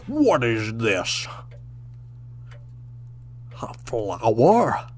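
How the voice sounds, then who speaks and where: A man's voice: medium pitch, huge pitch swings, very slow, full character voice. A man playing a proud, hot-tempered Klingon warrior captain in a sci-fi radio drama, with indignant, formal, old-fashioned phrasing. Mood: impatient, threatening. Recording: faint background noise.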